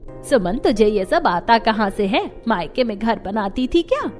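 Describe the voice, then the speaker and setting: A woman's voice: on the high side, sing-song, unhurried, darkish tone. Sarcastic older cartoon mother-in-law played by a woman. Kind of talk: Hindi cartoon story dialogue. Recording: music behind the voice.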